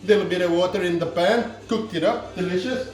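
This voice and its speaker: A man voicing a boastful comic Irish home cook in a put-on Irish accent, rambling through a cooking demonstration with deadpan absurd asides. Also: high-pitched, fairly quick, lightly acted. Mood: jokey. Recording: echoey room, somewhat far from mic, faint music.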